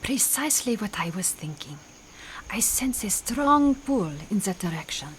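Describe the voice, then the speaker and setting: A woman playing a mysterious, solemn spirit medium in a radio drama, with a grave, breathy half-whisper. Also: mid-range voice, very animated, a little slow. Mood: urgent, mystical. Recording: faint noise, rain sound effects.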